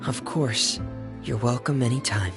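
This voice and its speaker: A man playing a solemn, loyal young man in a video game, speaking in a measured, earnest way. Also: mid-range voice, natural voice. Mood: earnest, grateful. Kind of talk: dramatic video game dialogue. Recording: music behind the voice.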